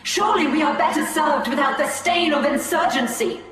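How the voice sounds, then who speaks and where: An actress playing a scheming female elf seer who speaks in a measured, solemn way. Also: sits high, close to an everyday voice. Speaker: Farseer. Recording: echoey hall, from far off, loud music.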